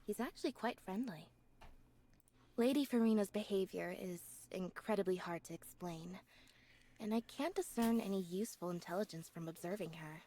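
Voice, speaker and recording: Woman voicing a soft-spoken, reserved young magician's assistant. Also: medium pitch, moderate pace, lightly acted. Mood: composed, observant. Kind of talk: scripted video game character voice lines.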